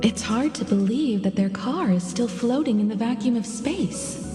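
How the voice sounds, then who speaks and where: A woman's voice: a little high, animated, moderate pace, lightly acted. A woman voicing a mature, practical and caring crew leader in a video game, direct and level-headed. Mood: concerned. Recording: echoing reverb, music behind.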